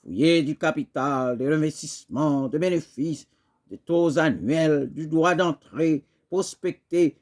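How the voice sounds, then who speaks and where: A man reciting poetry dramatically, in an impassioned, declamatory way with emphatic pauses. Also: a little high, a little mellow. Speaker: poet reciting.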